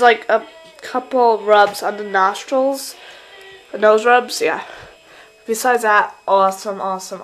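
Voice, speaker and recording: A woman's voice: fairly high pitch, a bit bright, poor audio. A teenage girl with a New York accent, chatting casually in her bedroom at night and filling her talk with fillers while she films on a handheld camera. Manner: chatty, rambling, lots of fillers. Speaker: model horse hobbyist vlogger. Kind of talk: casual show-and-tell vlog.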